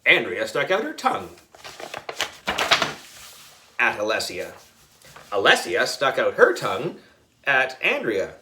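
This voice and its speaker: Male children's audiobook reader: playful and exaggerated, very lively pitch, bright delivery. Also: slow pace, pauses often, a little hiss or hum. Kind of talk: animated children's story narration.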